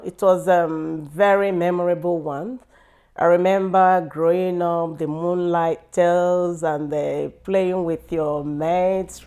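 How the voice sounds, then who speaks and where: Slow-speaking woman. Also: on the low side, darkish tone. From Nigeria. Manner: unhurried, fond, many fillers. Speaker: interviewee. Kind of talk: casual reminiscing.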